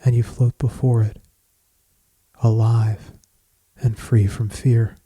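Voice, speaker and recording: Slow, mellow man guiding meditation. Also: mid-range voice, flat delivery, slightly rough, dry recording. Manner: measured, soothing, solemn, with long pauses. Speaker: meditation guide. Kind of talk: guided meditation, scripted poetic narration.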